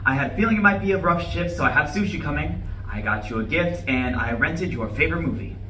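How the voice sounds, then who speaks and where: A man acts out an eager, anxious young husband in a staged reading, keen to please his wife. His voice is bright and forward, heard from a distance in a roomy space with faint noise behind. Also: a little high, fast. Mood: placating. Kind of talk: live staged play reading. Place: live reading in a university room.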